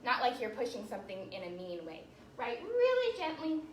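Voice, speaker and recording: High, lilting woman teaching children's ballet. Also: wildly swooping pitch, moderate pace, a little hiss or hum. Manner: encouraging, praising often, simple child-directed explanations. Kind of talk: instructional ballet class for kids, counting out steps. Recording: roomy echo, music in the background.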